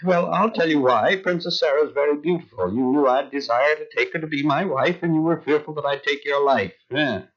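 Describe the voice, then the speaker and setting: A man's voice: fairly high pitch, a little fast, hardly any pauses, fairly bright tone. An actor playing an imperious, easily flustered Egyptian king in a Bible audio drama, theatrical and given to stammering. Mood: wounded, reproachful. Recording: dull lo-fi radio drama audio.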